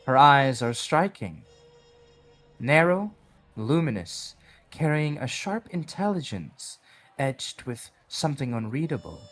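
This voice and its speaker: A man narrates a dark audio drama at a very slow, deliberate pace. His pitch moves expressively as he paints each scene with dramatic weight. Music plays softly behind his voice. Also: big pitch swings. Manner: measured, dramatic storytelling delivery. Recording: background music under the voice.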